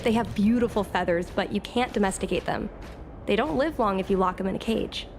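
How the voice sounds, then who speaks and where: A woman's voice: medium pitch, a little fast, background noise, natural voice. A woman voicing an earnest, wistful young girl in a video game, speaking articulately. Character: earnest young girl, a pilot's daughter longing to fly. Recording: game music behind the voice.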